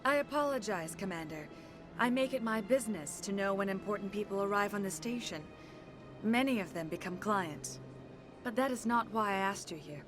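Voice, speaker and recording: A composed, courtly consort played by a woman with a fairly low voice. She speaks formally and deliberately, discreet and self-possessed, in a video game with clear music behind her. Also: natural voice. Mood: composed, commanding. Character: poised, influential alien consort, courteous and discreet.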